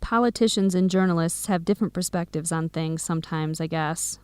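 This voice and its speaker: An actress playing a caring, outspoken young best friend in a radio drama. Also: female voice, lowish voice, fairly quick, darkish tone, clean tone, no echo, close to an everyday voice. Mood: disapproving. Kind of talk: radio drama acting, simulated video call.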